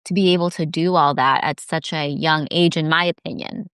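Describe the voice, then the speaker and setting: Low-voiced woman podcast host. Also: fairly even intonation, moderate pace, smooth, no echo, clean studio sound. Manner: warmly encouraging, short affirmations and eager follow-up questions. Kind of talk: casual interview chat.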